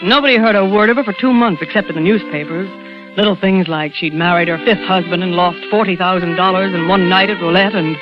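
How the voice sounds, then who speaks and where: A woman's voice: mid-range voice, lightly acted. An actress narrating as a female stand-in in a wry, confiding manner, in 1940s radio drama style. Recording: lo-fi old radio broadcast with music.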